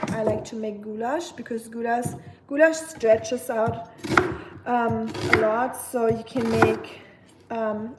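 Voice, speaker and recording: Brief: young German-accented woman, raised voice, slow easygoing delivery, sarcastic chat host over loud chopping. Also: a little high. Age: young adult. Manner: chatty, dry sarcastic humor, answers viewer comments. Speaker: cooking streamer. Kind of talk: casual live-stream chat while cooking. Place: home kitchen. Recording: knife chopping noise behind.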